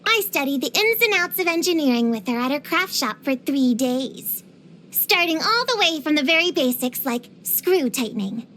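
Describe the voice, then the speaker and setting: A woman's voice: sits high, lively intonation, normal speed, a bit bright, slight background noise. A woman voicing a precocious, feisty little girl in a video game, earnest and theatrical with grown-up wording. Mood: earnest, chatty. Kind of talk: scripted video game character voice lines.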